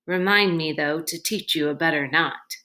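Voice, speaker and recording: A calm and gallant grown man from a children's storybook, read by a woman. He speaks in a measured, understated way, protective and reassuring. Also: sits low, voice pushed down. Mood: wry, reassuring. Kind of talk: children's novel read-aloud.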